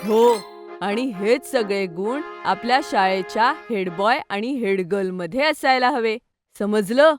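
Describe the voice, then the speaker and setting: A woman's voice: medium pitch, unhurried, soft background music, natural voice. A woman playing a sensible, principled young schoolboy in a children's cartoon. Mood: earnest, firm. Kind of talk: Marathi children's cartoon dialogue.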